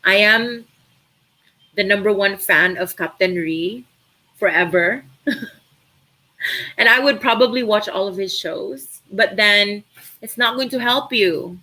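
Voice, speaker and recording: A woman's voice: medium pitch, slow pace, long pauses, low-quality recording. A young Filipina woman with a Filipino accent, native in Tagalog, chatting casually as she answers viewers' questions on a live stream about an online course. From Philippines. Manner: chatty, animated, uses many fillers.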